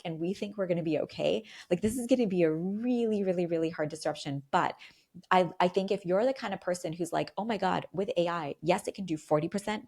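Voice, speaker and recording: A middle-aged woman with a notably low voice, speaking as a podcast guest. She talks in an animated, chatty way, leaning on "like" and doubling words for emphasis. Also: vocal fry. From London. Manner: animated, frequent "like" fillers, repeats words for emphasis. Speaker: guest expert. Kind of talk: casual interview chat.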